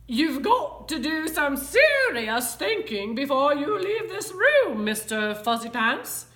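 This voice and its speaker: Woman playing a stern, high-pitched scolding mother cat. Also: sing-song, takes it slowly, a bit bright, reverberant, exaggerated voice. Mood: stern, lecturing. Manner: scolding, emphatic.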